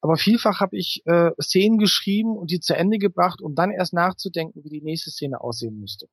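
Guy on the higher side, dry sound, interview guest explaining stuff thoughtfully, kinda hesitant and rambly. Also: brisk, husky, dry recording. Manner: thoughtful, hesitant, explains at length in winding sentences. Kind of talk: conversational interview answers.

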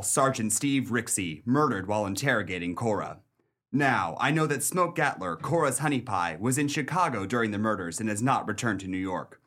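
A man's voice: medium pitch, a bit bright, natural voice. A man playing a dogged, bitter police detective in a 1930s pulp crime radio play, with a wry, tough-talking manner.

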